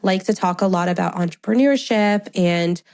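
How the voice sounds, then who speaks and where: A woman's voice: mid-range voice, normal speed, a little mellow. A woman in her thirties hosting a solo podcast, talking in a chatty, friendly way with a congested, stuffy voice and frequent laughs. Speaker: podcast host.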